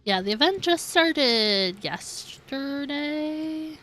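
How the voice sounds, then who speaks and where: A woman's voice: sits high, animated, extremely slow, fairly bright tone, faint music behind. A woman livestreaming a game, chatting casually and informally in a giggly, self-deprecating way. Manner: informal, peppers speech with "like". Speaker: game streamer, host. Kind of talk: casual livestream chat while playing a game.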